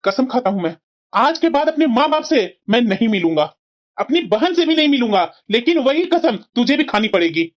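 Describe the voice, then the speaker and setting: A woman's voice: fairly high pitch, sing-song, fairly quick. A woman voicing an earnest young husband and dutiful son in a cartoon, talking in a firm, lecturing way. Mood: stern. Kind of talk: cartoon voice acting. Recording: dull lo-fi recording.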